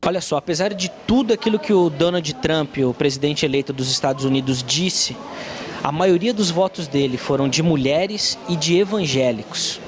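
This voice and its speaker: A man's voice: fairly high pitch, moderate pace. A Brazilian man working as a street reporter, putting a question directly to passers-by. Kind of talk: vox pop question.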